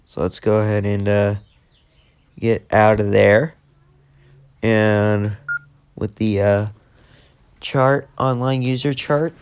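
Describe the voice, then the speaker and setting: A man giving a casual, unscripted tech demo in a halting, repetitive way that tends to trail off. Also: on the low side, fairly even intonation, clean tone. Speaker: video host demonstrating a walkie-talkie app.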